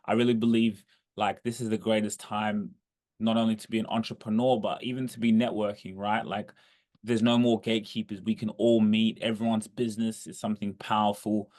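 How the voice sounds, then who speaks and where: A man's voice: lowish voice, flat delivery, brisk, a little mellow. A man hosting a podcast, asking long, rambling questions with encouraging restarts. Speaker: podcast host. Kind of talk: casual podcast interview.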